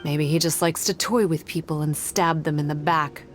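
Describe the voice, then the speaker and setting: A woman playing a composed, formal priestess-like guide who explains things in a measured way in a video game. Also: deep voice, fairly even intonation, soft background music, lightly acted. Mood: serious, explanatory. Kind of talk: English video game dialogue.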